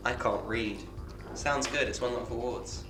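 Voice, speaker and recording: A young man trades dry, teasing jokes as co-host of a casual podcast. He sounds in an echoey room, with music clearly playing behind him. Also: mid-range voice, normal speed. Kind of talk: casual chat over beer.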